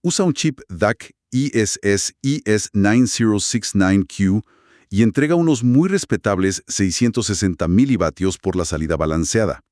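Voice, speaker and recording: Male podcast co-host, lowish voice, animated swings in pitch, dry close sound, explanatory delivery. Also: normal speed, no echo. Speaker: co-host of an audio gear review discussion. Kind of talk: conversational podcast-style explainer.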